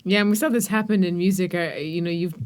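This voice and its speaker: A woman's voice: fairly low pitch, fast, clear, resonant tone. A woman hosting a podcast, interviewing a guest in a conversational style.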